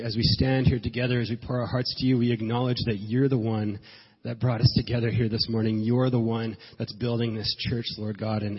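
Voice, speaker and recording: A male pastor preaches with a congested, nasal voice, slightly husky, his words drawn out slowly for emphasis. The sound is dull and lingers in a long church reverb. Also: fairly low pitch. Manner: earnest, halting restarts, slow emphatic phrasing. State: stuffed up from a cold. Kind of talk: sermon. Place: church sanctuary.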